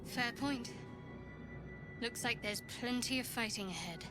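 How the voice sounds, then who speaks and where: A woman's voice: a little high, unhurried, natural voice. A woman acting a guarded, pragmatic young cleric adventurer in a video game. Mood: wary, composed. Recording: game music behind the voice.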